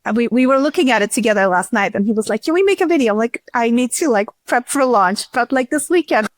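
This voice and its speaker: A woman's voice: a little high, lively intonation, fast talker, no room sound. A woman speaking as an interview guest, explaining things informally in a casual, conversational way. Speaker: podcast guest, tech startup CEO.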